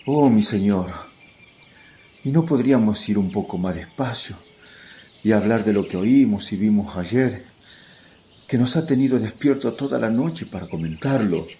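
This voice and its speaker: A man acts a winded, devoted disciple in a religious radio play. His speech swings up and down with a grumbling edge and a soft, mellow tone. Faint birdsong sits behind him. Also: fairly low pitch, lively intonation, normal speed, a little hiss or hum. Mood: pleading. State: out of breath. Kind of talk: religious radio drama acting. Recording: faint outdoor birdsong and ambience.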